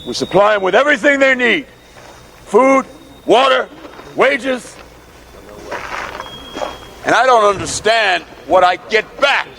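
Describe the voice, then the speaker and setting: Forceful, bright-voiced bullying town boss. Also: male voice, sits high, animated, takes it slowly, gravelly, pauses often, exaggerated voice. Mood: wheedling, self-righteous. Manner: forceful, haranguing, rhetorical. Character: overbearing bullying town boss rallying a mob. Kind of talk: screen drama acting. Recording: noisy film soundtrack with crowd.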